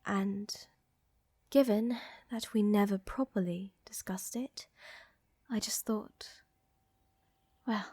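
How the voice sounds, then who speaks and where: Woman playing a breathy, whispering vampire countess. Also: mid-range voice, takes it slowly, pauses often, no echo, clean studio sound. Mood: earnest, apologetic. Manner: soft, whispery, formal and genteel phrasing. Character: elegant, flirtatious, tender female vampire countess. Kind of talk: roleplay ASMR, intimate scripted acting.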